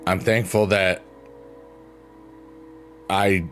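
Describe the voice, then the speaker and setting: A man with a booming voice on a late-night stream, chatting in a rambling, thankful way and answering his chat. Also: steady tone, drawn-out words. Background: soft piano music.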